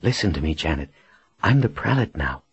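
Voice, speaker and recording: Man reading as a firm, reassuring woman leader, husky. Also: a bass voice, sing-song, normal speed, dry recording, muffled recording, full character voice. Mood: earnest insistence. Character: resolute, reassuring woman leader rescuing a friend.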